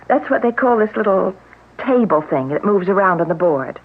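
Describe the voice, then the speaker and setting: An actress playing a gentle hostess in a 1970s radio drama, patiently explaining things. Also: female voice, medium pitch, expressive, moderate pace. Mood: instructive. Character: gentle hostess, grown woman. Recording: tinny lo-fi old radio broadcast with faint hiss.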